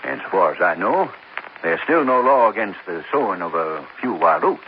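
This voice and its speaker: An actor playing a stuffy, haughty businessman in a 1950s radio drama, pompous, clipped and quick to take offense. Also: low voice, big pitch swings. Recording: dull old radio recording with faint hiss.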